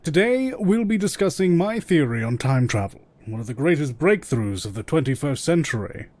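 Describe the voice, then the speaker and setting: Guy doing a pompous touchy inventor, anime dub, highish voice all over the place, music underneath. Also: very animated, moderate pace, a little mellow. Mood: grandiose. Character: self-important, irritable male inventor giving a lecture. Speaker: amateur voice actor. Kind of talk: anime fandub acting.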